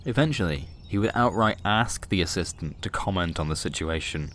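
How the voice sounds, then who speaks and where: A man with a low voice tells a scripted comic sci-fi tale. His delivery is wry and dramatic, with faint noise behind the voice. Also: lively intonation, fairly quick, a bit bright. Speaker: storyteller.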